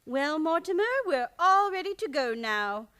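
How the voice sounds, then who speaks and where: A woman's voice: high voice, big pitch swings, unhurried, over loud music. A woman acting a genteel, sweetly stubborn elderly aunt, prim and matter-of-fact, in a radio play comedy.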